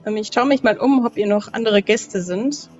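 A woman's voice: mid-range voice, moderate pace, a little mellow. A woman playing a tabletop game, improvising casually in a polite, hesitant way. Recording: band-limited dull audio, music behind.

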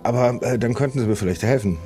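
A man's voice: medium pitch, quick pace, mellow. A man playing along in an improvised adventure audio play, conversational, polite and hesitant. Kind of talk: improvised in-character roleplay, conversational. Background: faint music.

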